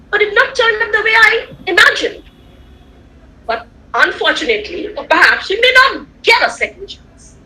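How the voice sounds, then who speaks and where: A woman whose first language is Tamil, giving an animated online lecture to students, repeating points and checking that they follow. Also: high voice, low-quality recording. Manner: animated, repetitive, rhetorical questions to listeners. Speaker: guest lecturer. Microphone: computer mic on a video call.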